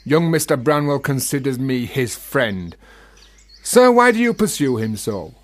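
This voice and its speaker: A genial, sly gravedigger from a radio drama, with a mid-pitched voice. He philosophises in florid phrases and pays his betters a teasing, mock-humble respect. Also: big pitch swings, measured pace. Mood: earnest pleading. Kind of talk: radio play acting.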